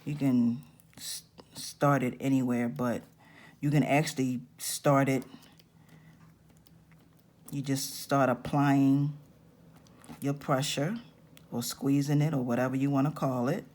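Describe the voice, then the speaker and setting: Slow-talking raspy woman teaching crafts. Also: a bass voice, steady tone, a little mellow. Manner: slow, patient step-by-step instructions. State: raspy-voiced this morning. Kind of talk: hands-on craft tutorial.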